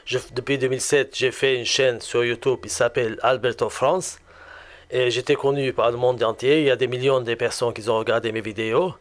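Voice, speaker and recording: Male guest, Lebanese accent, clear resonant voice, mid pitch, halting testimony delivery. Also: steady tone. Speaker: guest giving a religious testimony. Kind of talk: personal testimony in conversation.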